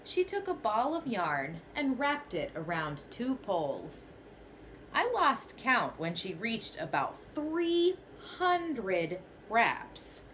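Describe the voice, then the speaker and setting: Young female teacher, storybook read-aloud, slow and lively, bright-ish voice, faint room hiss. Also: on the high side. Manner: expressive storytelling, first person as the goat. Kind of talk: children's picture book read-aloud. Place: small bedroom, indoors.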